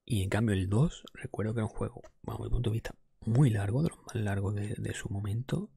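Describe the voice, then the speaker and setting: Whispering Spanish man, very breathy and dry. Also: on the low side, brisk, no echo. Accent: Spain Spanish. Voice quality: breathy, mostly whispered, soft-spoken. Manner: informal, rambling, opinionated. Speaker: ASMR podcast host. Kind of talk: ASMR, whispered casual video game review. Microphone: close microphone.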